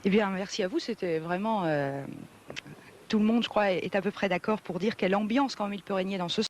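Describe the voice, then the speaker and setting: A woman hosting a conversational interview, politely and gently prompting in formal address. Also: mid-range voice, brisk, a little hiss or hum. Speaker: interviewer, host.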